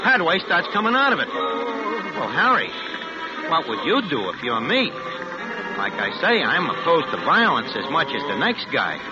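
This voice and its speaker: A slangy small-time crook, confiding one moment and jittery the next, his voice pitched high and swinging all over. It comes through a dull, old radio recording, with music clearly heard underneath. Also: huge pitch swings, normal speed. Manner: tough-guy slang, confiding, nervous. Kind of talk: 1940s radio acting.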